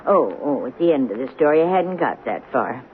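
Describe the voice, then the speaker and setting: A woman's voice: very deep voice, sing-song, a little fast. An actress playing a kindly, moralizing elderly woman in a radio drama, earnest and lamenting. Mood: dismayed. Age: older. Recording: tinny old radio drama broadcast, faint noise.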